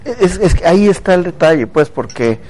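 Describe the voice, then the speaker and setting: A man's voice: sits high, big pitch swings, moderate pace, warm tone. A man hosting a casual talk show, chatty and speaking directly to viewers. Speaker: TV show host.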